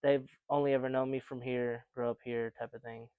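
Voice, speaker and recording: A man's voice: medium pitch, little pitch movement, a little fast, clean tone, no room sound, narrow, tinny audio. A young man co-hosting a casual podcast chat, rambling with lots of fillers and restarts. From United States. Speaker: podcast co-host.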